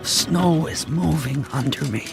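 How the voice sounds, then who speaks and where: Guy playing a loyal sled dog in a radio drama, gravelly n slow, short straining phrases, music behind. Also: a little high. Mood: fierce devotion. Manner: short, effortful present-tense phrases. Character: devoted, straining sled dog narrating his own effort.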